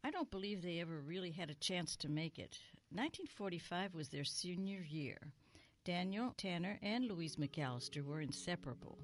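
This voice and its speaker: A woman playing a kindly elderly retired librarian in an audio drama, reminiscing gently and sorrowfully. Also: lowish voice, expressive, normal speed, natural voice. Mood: wistful, sad. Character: kindly, wistful elderly female retired small-town librarian. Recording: music under the voice. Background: music.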